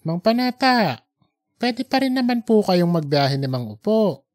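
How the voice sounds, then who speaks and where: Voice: mid-range voice, very animated, unhurried, darkish tone, pitched above the performer's own voice. A narrator voices an earnest young man from an audiobook tale. He is deferential and polite, offering reassurance with simple sincerity. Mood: reassuring. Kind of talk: audiobook character dialogue.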